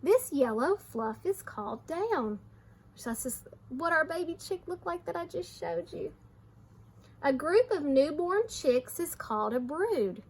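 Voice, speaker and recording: A woman teacher reading aloud to young children, expressive, with simple explanations along the way. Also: high voice, measured pace.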